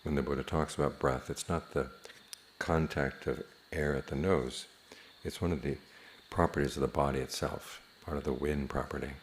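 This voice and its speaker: Very deep-voiced man guiding meditation. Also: fairly even intonation, slight background noise. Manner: measured, plain-spoken, with long pauses. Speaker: meditation teacher. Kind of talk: guided meditation instruction.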